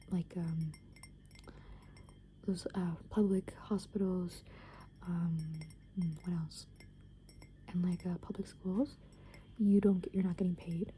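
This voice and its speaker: A young woman in an unhurried ASMR ramble, her voice almost all breathy whisper with barely any tone. The sound is deeply mellow and dark, right up against the microphone. Her talk meanders with plenty of fillers. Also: a little hiss or hum. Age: young adult. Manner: soft unvoiced whisper, rambling with many fillers. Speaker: ASMR creator.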